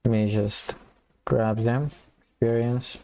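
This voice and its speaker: Man, gravelly and low, dark mellow tone, flat delivery, slightly hoarse, casual coding tutorial, thinks aloud while typing. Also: takes it slowly, poor audio. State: slightly hoarse, losing his voice. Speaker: programming tutor.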